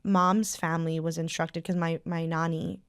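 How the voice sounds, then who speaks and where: A woman's voice: deep voice, little pitch movement, moderate pace, clean tone, dry recording. A woman with an American accent hosting a casual studio chat, talking in long rambling runs that circle back on themselves. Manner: rambling, self-correcting, chatty. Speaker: podcast host.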